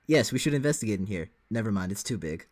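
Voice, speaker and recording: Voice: medium pitch, lively intonation, fast talker, dry recording, clean studio sound. A co-host giving casual reaction commentary in an easygoing, conversational way.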